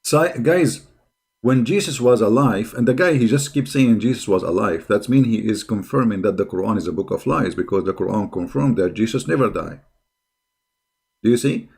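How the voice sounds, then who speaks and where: A man's voice: on the low side, normal speed, vocal fry, a little mellow, husky. Sarcastic, combative man. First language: not English. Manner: sarcastic, combative, repeats rhetorical questions. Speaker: host of a religious debate channel. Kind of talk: reaction commentary, mocking polemic.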